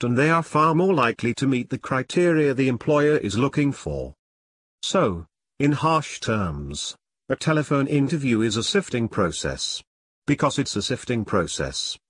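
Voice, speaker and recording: A man delivers scripted tutorial narration at an average male pitch. His delivery is measured and formal, with deliberate phrasing as he gives advice. The voice is close on the mic, with a very dry sound free of room reflections. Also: no room sound. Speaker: presenter of career advice tutorial.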